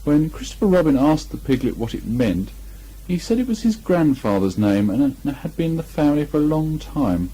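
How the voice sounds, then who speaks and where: Mellow, husky male storyteller. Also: fairly high pitch, sing-song, brisk. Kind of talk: expressive children's storybook reading. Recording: muffled amateur 1980 home recording.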